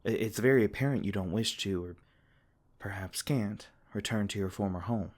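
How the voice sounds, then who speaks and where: A man playing a gentle, protective male fae king in a comforting ASMR roleplay, soft-spoken and reassuring. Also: on the low side, normal speed, close to an everyday voice.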